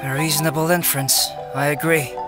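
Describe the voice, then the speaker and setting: A man playing a calm, analytical young male scholar in a video game, measured and precise. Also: on the high side, over music, natural voice.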